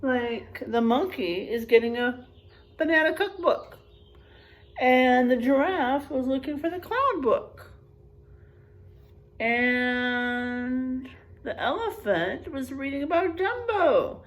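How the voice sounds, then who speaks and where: A woman's voice: high-pitched, lively intonation, takes it slowly, long pauses. A woman teacher reading a picture book aloud to children, expressively, stretching out rhymes and adding chatty, exclaiming asides.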